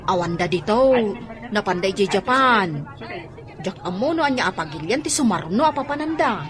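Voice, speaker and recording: A woman's voice: medium pitch, expressive, normal speed, crowd noise, background noise, close to an everyday voice. An actress playing a dutiful young housemaid who speaks respectfully to her employer. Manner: respectful, says sir. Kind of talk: Ilocano radio drama acting.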